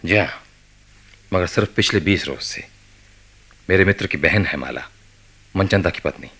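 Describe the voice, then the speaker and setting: A man acting a grave, reserved doctor in a radio play, husky-voiced, measured and courteous. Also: low-pitched, expressive, sharp tone, exaggerated voice. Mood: guarded, courteous. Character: grave, guarded male doctor recounting a case. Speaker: doctor and narrator character.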